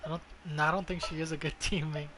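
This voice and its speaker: A man's voice: on the high side, level tone, fast talker, bright, forceful tone. A man doing casual gameplay commentary as a streamer, blunt and sweary, often muttering to himself. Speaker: video game streamer. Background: game music.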